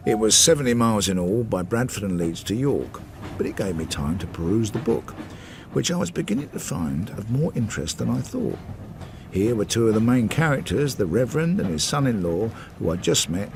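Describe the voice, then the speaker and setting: Deep-voiced Victorian detective narrator. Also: male voice, gravelly, noisy background. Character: Victorian male police sergeant narrating his inquiry, measured. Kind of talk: scripted radio drama narration.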